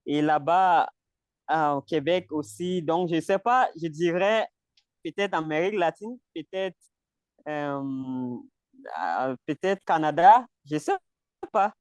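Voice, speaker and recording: A man from Cameroon whose first language is English leads a relaxed French practice session over a video call. His voice is smooth and fairly high for a man, and the sound is close and dry. He hesitates and repeats words, laughing lightly between phrases. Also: slow pace, no room sound. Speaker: conversation group leader. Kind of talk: casual language practice chat.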